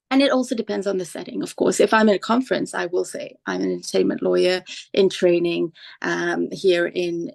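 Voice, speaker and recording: Gravelly-voiced woman podcast guest. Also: medium pitch. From Tanzania. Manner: thoughtful, relaxed, with fillers. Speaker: guest, entertainment lawyer. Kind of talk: conversational interview.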